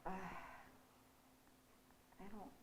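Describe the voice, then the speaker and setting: A woman chatting casually as a theatre director on a panel, hesitant and thinking aloud. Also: very slow. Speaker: theatre director being interviewed. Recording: faint background noise, local TV recording.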